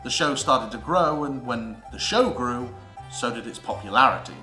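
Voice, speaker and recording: A British man giving a scripted educational narration as a theatre history presenter, with an emphatic, theatrical delivery and deliberate pauses. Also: medium pitch, expressive. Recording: roomy echo with music underneath.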